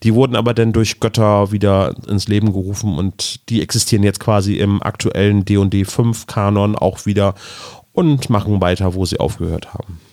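A man's voice: lowish voice, fairly even intonation, a little fast, a little mellow, no room sound, studio quality. A man co-hosting a podcast, explaining things in a rambling, casual way. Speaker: podcast co-host.

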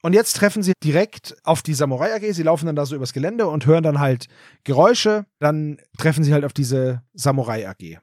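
Man, slightly high voice, dry close sound, rambling casual recap, podcast co-host. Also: lively intonation, a little fast, darkish tone, no echo. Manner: rambling plot retelling, loose and chatty.

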